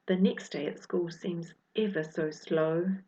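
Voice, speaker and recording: Unhurried New Zealand woman reading a story. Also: on the low side, steady tone, dark tone, muffled recording. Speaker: author reading her own book. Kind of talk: expressive children's story reading in rhyme.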